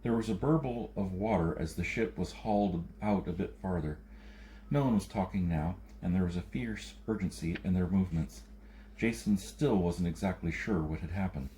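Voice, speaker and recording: A man's voice: deep voice, moderate pace, mellow, slight background noise, poor audio. A man reading a story aloud as scripted audiobook narration. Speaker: audiobook reader.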